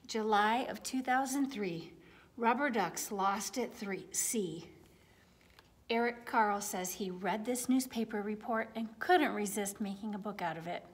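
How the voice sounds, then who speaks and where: A woman in her forties reading a picture book aloud to young children, with deliberate phrasing. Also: a little high. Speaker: children's story time reader. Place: large indoor room with high wooden ceiling.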